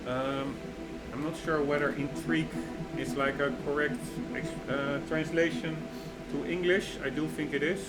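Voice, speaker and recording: Halting man over loud music. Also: on the high side, level tone, rough recording. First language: Dutch. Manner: halting, searches for words with many fillers; opinionated, gets worked up. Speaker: gaming video creator. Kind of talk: reading game lore aloud with commentary. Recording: loud music bed under voice. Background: loud game music.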